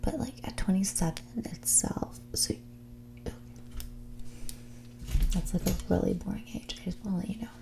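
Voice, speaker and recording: A woman playing a mean, condescending rich young woman on a first date in a whispered, soft-spoken ASMR roleplay, with a bored drawl. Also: mid-range voice, moderate pace, creaky, pauses often. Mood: bored disdain. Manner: condescending, drawling, bored, valley-girl filler "like".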